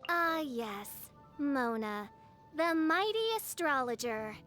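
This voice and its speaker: A woman's voice: high voice, animated, slow, fairly bright tone, soft background music, heavily acted. A woman voicing a haughty, theatrical teenage girl who styles herself a gothic princess, speaking in pompous, archaic phrases for a video game. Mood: grandiose. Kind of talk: English video game character voice lines.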